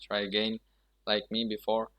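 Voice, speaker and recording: A young man with an Indonesian accent giving casual, halting advice about an online interview, with frequent restarts. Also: fairly low pitch, monotone, fairly bright tone. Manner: halting, many restarts and laughs. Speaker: vlogger sharing scholarship interview tips. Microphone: laptop or external mic.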